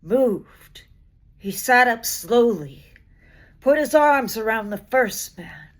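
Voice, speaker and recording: A woman reciting poetry dramatically, with an expressive, measured delivery and deliberate pauses between phrases. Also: fairly high pitch. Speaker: poetry reader.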